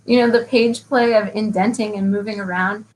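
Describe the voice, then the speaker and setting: A woman's voice: medium pitch, steady tone, normal speed, a little hiss or hum, poor audio. A woman interviewing a fellow poet, thinking aloud, riffing and asking thoughtful questions. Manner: thoughtful, riffing, warmly admiring. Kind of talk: conversational interview.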